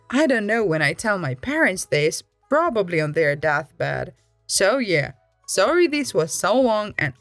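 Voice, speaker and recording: A woman reading an online story aloud in an animated way, letting her pitch swoop up and down for emphasis. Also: dry recording. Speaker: story reader.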